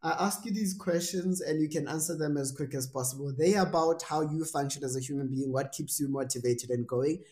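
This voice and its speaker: A man's voice: on the high side, a little fast, few pauses, a little mellow. Young man hosting a podcast. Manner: thoughtful, rambling with many fillers and restarts. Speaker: podcast host. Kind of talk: casual interview.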